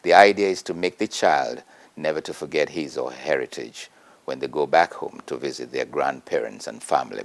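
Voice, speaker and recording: A man reading a prepared essay aloud in a measured, earnest way. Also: a bass voice, gravelly. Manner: measured, earnest oratory with emphatic rises.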